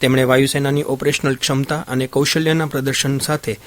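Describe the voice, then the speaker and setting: A man presents radio news from a script. His voice sits at an ordinary middle pitch, with a formal newsreading delivery. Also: level tone, fairly quick.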